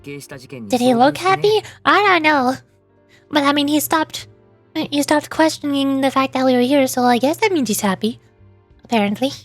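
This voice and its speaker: A woman's voice: sits high, big pitch swings, moderate pace, soft background music. A woman streamer giving casual, playful, reactive commentary on a game.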